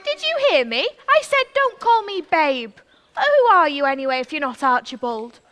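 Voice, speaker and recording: An actress playing a haughty, indignant eighteen-year-old princess in a theatrical stage pantomime. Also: female voice, high voice, very animated, moderate pace, a bit bright.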